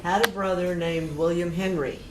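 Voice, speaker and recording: A mature woman with an American accent, explaining family history casually and in detail, pausing to recollect. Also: lowish voice, fairly even intonation, darkish tone. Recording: echoey room with clear noise.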